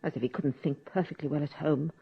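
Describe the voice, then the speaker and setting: An actress playing a coolly controlling grown daughter in a radio drama, with a dry, clipped delivery. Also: female voice, low-pitched, fairly even intonation, fast, vocal fry, slightly rough, full character voice. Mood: cool, calculating. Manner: dry, clipped, matter-of-fact. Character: cool, controlling grown daughter, dryly self-possessed. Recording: dull, lo-fi radio recording.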